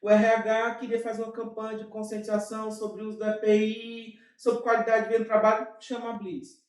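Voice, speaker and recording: A man with a Brazilian Portuguese accent, a guest in an interview, telling his story in an animated way with many asides. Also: sits high, far from the mic. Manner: animated, with frequent fillers and quick asides. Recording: echoey room, off-mic.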